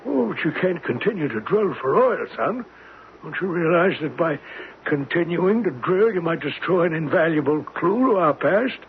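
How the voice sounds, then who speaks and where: An actor playing a scholarly older professor in a 1970s radio drama, speaking with a hesitant, deliberate manner. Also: male voice, a little high, animated. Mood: pleading. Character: scholarly older male professor, absent-minded yet eager. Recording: noisy, tinny old radio broadcast.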